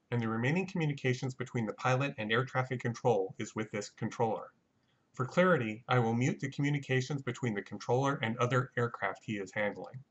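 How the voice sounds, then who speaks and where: A man giving a measured, matter-of-fact scripted technical narration as an explainer. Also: medium pitch, fairly bright tone, lo-fi sound. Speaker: narrator of a flight reconstruction.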